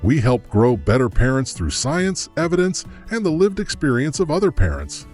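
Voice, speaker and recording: A man's voice: mid-range voice, very animated, normal speed, darkish tone. A man reading the scripted intro of a podcast in an upbeat announcer delivery. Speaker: show announcer. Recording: voice over faint music. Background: faint music.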